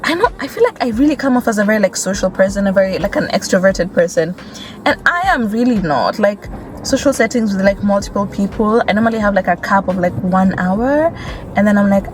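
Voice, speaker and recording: A woman's voice: mid-range voice, lively intonation, brisk. A young woman with a Kenyan accent talking casually and candidly as she drives, with many fillers. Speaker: vlogger. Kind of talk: casual chat while driving. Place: in a car on city streets. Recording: loud road noise in a car.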